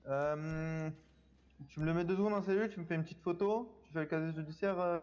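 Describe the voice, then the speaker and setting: A man's voice: a little high, a little fast, a little mellow. A man in casual online police role-play, hesitant and muttering as he works things out. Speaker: player acting as a police sergeant. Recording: reverberant, slightly dull gaming voice chat.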